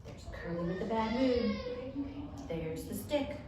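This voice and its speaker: A woman reading a picture book to children as a story time host, expressive, with dramatic emphasis and pauses. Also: medium pitch. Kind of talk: animated picture-book read-aloud for children. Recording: echoey room, distant mic, light noise.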